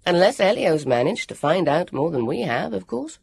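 A high, airy, lordly boy, voiced by the narrator, with swooping pitch. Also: very animated, gravelly, breathy edge, exaggerated voice. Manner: airy and lordly, quick to brush things off. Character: lordly, bossy young boy.

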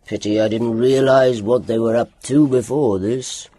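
A reader voicing a gruff, stern older male doctor who speaks in a curt growl. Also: mid-range voice, fairly even intonation, measured pace, dark tone, no room sound, voice pushed down.

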